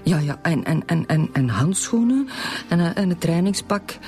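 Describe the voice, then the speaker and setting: A flustered Flemish widow giving her account to police. She speaks haltingly and stammers over words, with faint music underneath in a radio crime play. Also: lowish voice, animated, a little mellow. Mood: shaken. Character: flustered widowed bakery shopkeeper, recounting a robbery. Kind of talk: radio drama acting.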